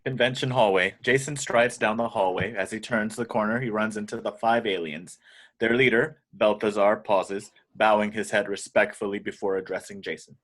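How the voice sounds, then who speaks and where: A man's voice: on the low side, steady tone, normal speed, fairly bright tone. A man narrating screenplay action lines as scripted narration in an amateur table read. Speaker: narrator reading stage directions.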